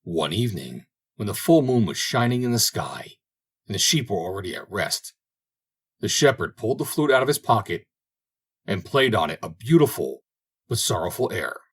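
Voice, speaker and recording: A man reading a fairy tale aloud as scripted audiobook narration, in a dramatic, expressive storytelling manner. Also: fairly low pitch, normal speed, slightly rough. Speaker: audiobook reader.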